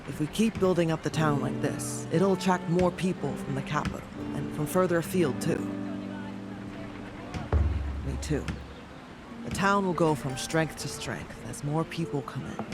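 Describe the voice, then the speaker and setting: A man's voice: fairly high pitch, a little slow, pauses often, music in the background, natural voice. An actor playing an earnest, encouraging, optimistic townsman in a fantasy video game. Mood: hopeful, enthusiastic. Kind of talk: video game cutscene acting.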